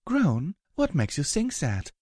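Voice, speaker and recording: A man's voice: on the high side, huge pitch swings, takes it slowly, dark tone, no echo, voice pushed up, exaggerated voice. Guy putting on a posh-mannered lady unicorn, airy raised voice, dainty, storybook style, dry audio. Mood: breezy, assured. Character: refined, assured, fashion-loving female unicorn.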